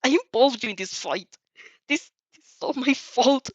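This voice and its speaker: A woman's voice: high voice, moderate pace, breathy edge, no echo, dull audio, pitched above the performer's own voice. A woman voicing an anguished, proud young male hero student in an audio story, strained and pleading.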